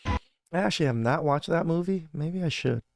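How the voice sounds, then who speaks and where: A man's voice: fairly high pitch, lively intonation, fairly quick, gravelly, music in the background. A man hosting a podcast, reacting to clips in a laid-back, casual way with some swearing. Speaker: podcast host.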